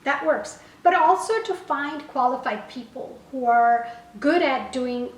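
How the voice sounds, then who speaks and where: A woman's voice: high voice, measured pace. A woman whose first language is Gujarati, an online language teacher and business owner, giving casual advice to camera and correcting herself often. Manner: conversational, frequent "you know" and self-corrections. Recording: roomy echo, a little far from the mic.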